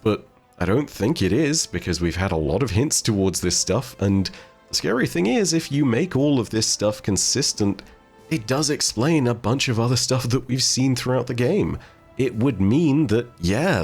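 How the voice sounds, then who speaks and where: Low-pitched man hosting a game lore video. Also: darkish tone. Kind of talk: video commentary, conversational narration to viewers.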